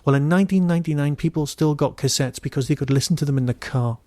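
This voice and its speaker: A man hosting a podcast, giving an informal solo review in a wry, digressive way with emphatic pauses. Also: on the high side, mellow, no room sound.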